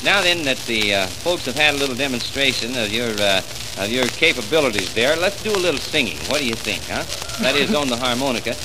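A man's voice: mid-range voice, expressive, a little fast. A man with folksy, jovial stage patter, announcing on a live 1940s country radio show. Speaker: radio barn dance performer and announcer. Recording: old home-cut disc of 1940s radio, crackly.

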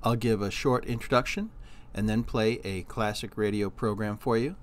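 Casting: male host for old-time radio reruns, plain introduction, mid-range voice. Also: medium pitch. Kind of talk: spoken introduction to a program.

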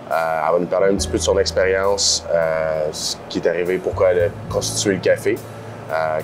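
A man in his thirties with a Quebec French accent, casually hosting an interview in informal, colloquial speech. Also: sits low. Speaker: host, real estate broker. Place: indoor café. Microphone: lapel mic.

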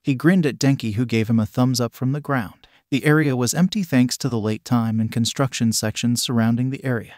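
A man's voice: medium pitch, a little fast, hardly any pauses, darkish tone, no room sound, clean studio sound. A man reading a scripted fan fiction story aloud as its narrator.

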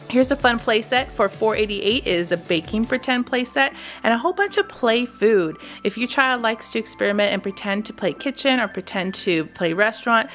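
A woman's voice: mid-range voice, a bit bright. A woman in her thirties, a mother and channel host, narrating a scripted voice-over in an upbeat, recommending tone. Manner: upbeat, recommending toys to parents.

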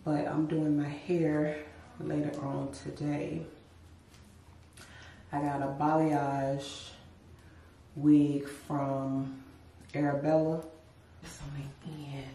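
A woman's voice: deep voice, takes it slowly, pauses often, slight background noise. A woman, chatting casually to camera at home in a vlog. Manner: relaxed, chatty, addresses viewers as y'all. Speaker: lifestyle vlogger. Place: bedroom at home, later a car. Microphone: camera a few feet away. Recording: roomy echo, distant from the mic.

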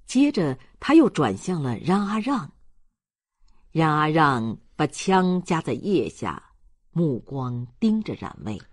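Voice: medium pitch, very animated, slow, no echo, clean studio sound. A narrator reading literary fiction aloud in a dramatic storytelling manner. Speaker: audiobook narrator.

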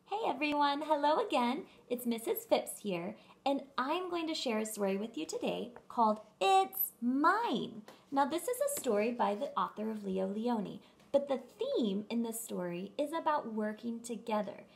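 A woman reads aloud for young children. Her voice is high and very lively, with some creak. She phrases things slowly and emphatically. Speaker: storybook reader for children. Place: room at home.

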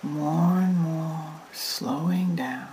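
Female meditation guide: low-pitched, soothing, very unhurried, breathy near-whisper, trance-induction delivery. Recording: hissy recording with steady noise.

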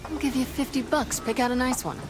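A woman's voice: sits high, fairly even intonation, fairly quick, noisy background, lightly acted. A woman playing a tough, impatient gang boss in a video game, blunt and casual in manner. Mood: brisk, sardonic. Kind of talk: video game cutscene acting. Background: background music.

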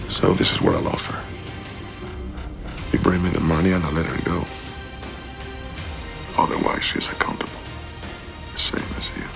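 A merciless hitman speaks in a low voice full of gravel. He is hushed, cold and implacable, with loud film music underneath. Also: normal speed, long pauses, full character voice. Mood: cold threat. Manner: flat-worded, hushed, implacable.

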